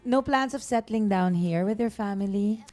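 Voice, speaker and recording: A talk-show host, a woman, keeps the conversation moving with friendly, probing questions. Her voice is middle-pitched, and soft music plays faintly behind her. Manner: friendly, chatty, probing questions with quick follow-ups. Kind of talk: casual interview questions.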